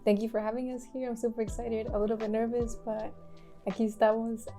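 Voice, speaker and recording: A woman from Mexico speaking as a community organizer in an interview, talking haltingly with many fillers and restarts. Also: on the high side, little pitch movement, mellow, soft background music. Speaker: community land trust organizer. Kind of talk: casual interview talk.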